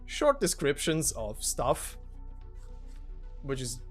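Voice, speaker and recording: A man introduces himself as narrator and host in a casual, halting way. His mid-pitched voice moves around in lively swings, with faint music behind. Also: breathy edge.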